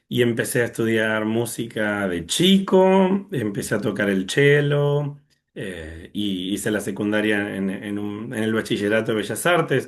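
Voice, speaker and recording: A young man from Argentina with an Argentine accent, a conductor telling his story in an interview over a video call, thoughtful and full of fillers. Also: fairly low pitch. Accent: Argentine Spanish. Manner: thoughtful, many fillers and restarts. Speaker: orchestra conductor, guest.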